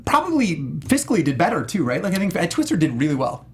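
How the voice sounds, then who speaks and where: A man co-hosting a film review show, chatting casually with quick banter and interjections. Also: on the high side, wildly swooping pitch, darkish tone, a little hiss or hum. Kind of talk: casual panel chat.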